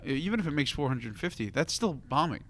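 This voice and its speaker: A man's voice: a little high, very animated, brisk, a bit bright. A man in a casual panel chat about games and films, thinking aloud as he hosts. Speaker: talk show host.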